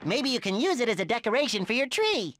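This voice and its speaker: A man's voice: very high voice, big pitch swings, fast, fairly bright tone, soft background music, exaggerated voice. A man voicing a naive, eager sea sponge in a cartoon video game, earnest, childlike and exaggerated. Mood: sheepish, eager. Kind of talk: animated video game voice acting. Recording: noticeable background noise.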